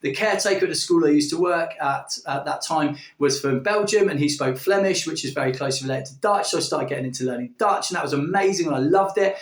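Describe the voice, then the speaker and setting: A man's voice: on the high side, fast, hardly any pauses. A man, talking casually in a vlog, enthusiastic and rambling with plenty of filler words. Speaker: language-learning YouTuber. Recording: echoey room, distant from the mic.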